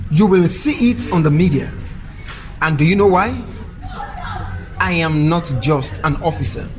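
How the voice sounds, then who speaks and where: A man's voice: high-pitched, rough recording. A man with a Nigerian accent playing an upright, pious officer in a radio drama, speaking in earnest, defiant declarations.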